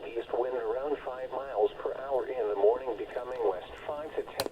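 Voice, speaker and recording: A man's voice: medium pitch, moderate pace. A man reading a scripted weather forecast, heard through a small radio speaker. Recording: muffled, noisy weather radio broadcast.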